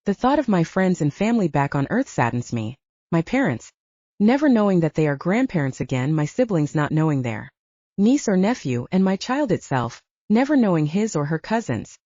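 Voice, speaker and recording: Mellow woman narrating fiction, lively intonation. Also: on the low side, dry recording, muffled recording. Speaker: audiobook narrator. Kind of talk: scripted first-person fiction narration.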